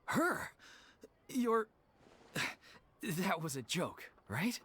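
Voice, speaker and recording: A man's voice: high voice, sing-song, extremely slow, fairly bright tone, husky, full character voice. An actor playing an earnest, apologetic male leader of an amateur theater troupe in a game cutscene. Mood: startled. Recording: game audio with faint music.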